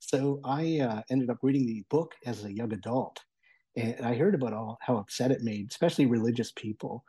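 A man in his forties with a middle-pitched, husky voice and a very relaxed tone. He talks as a radio contributor in a conversational style, pausing and thinking as he goes. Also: dry recording, low-quality recording. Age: 40s. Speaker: audio entertainment and accessible technology contributor.

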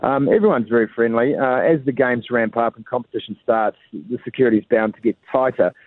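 A man's voice: mid-range voice, a little fast, no echo. A man reporting by phone, chatty, with lots of "uh" fillers. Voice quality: tinny phone line. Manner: conversational, frequent "uh" fillers. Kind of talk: live phone cross to a radio show. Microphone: telephone. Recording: phone line.

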